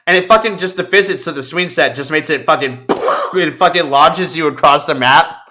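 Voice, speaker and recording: A man chatting casually about video games as a podcast host, telling animated stories with plenty of swearing. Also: a little high, normal speed. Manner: profane, animated storytelling.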